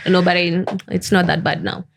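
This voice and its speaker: A woman's voice: on the low side, flat delivery, normal speed, creaky, a little hiss or hum. A woman with a Kenyan accent co-hosting a podcast in casual English with some Swahili. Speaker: podcast co-host.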